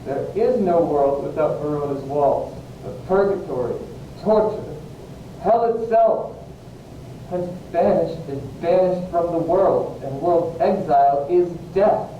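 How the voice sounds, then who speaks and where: A man's voice: fairly high pitch, slow pace. A man acting an anguished, impassioned young banished lover in a Shakespearean verse reading. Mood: anguished, protesting. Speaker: amateur actor, English teacher. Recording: echoey room, muffled, distant mic, faint hiss.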